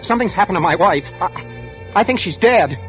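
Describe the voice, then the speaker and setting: Haunted husband in an old radio thriller. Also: male voice, sits high, animated. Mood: shaken, distraught. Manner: intense, haunted, halting delivery. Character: nervous, guilt-ridden husband plotting to poison his wife. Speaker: narrator and lead actor. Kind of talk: 1940s radio thriller acting, first-person narration. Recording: muffled vintage radio broadcast, music underneath.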